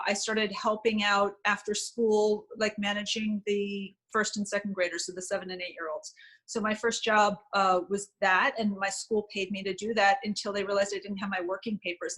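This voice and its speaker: A woman's voice: mid-range voice, flat delivery, normal speed, a bit bright, low-quality recording. A woman guest in an interview, answering candidly and with self-deprecating humor, with frequent ums. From United States.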